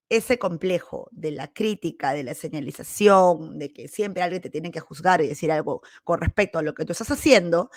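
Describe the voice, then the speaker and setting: Female podcast host, Peruvian accent, medium pitch with noticeable vocal fry. Candid, casual personal storytelling, recorded close and dry. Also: no room sound. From Peru. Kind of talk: casual autobiographical storytelling monologue.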